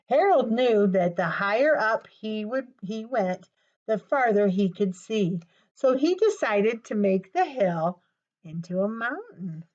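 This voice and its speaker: A woman reading a picture book aloud to young children as a storytime presenter, expressive and gasping for suspense, often putting questions straight to her listeners. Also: fairly high pitch, lo-fi sound. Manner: expressive, gasps for suspense, addresses children directly.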